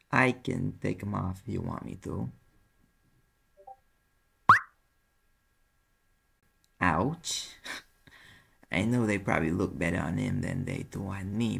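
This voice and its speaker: A very low, heavily creaking male private eye, acted by a man with a New York accent. He is a brash, sardonic demon in an audio drama. His delivery is casual and defensive, with a rough husky edge. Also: full character voice. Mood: grumbling. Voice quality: gravelly, husky. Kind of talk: audio roleplay acting, conversational.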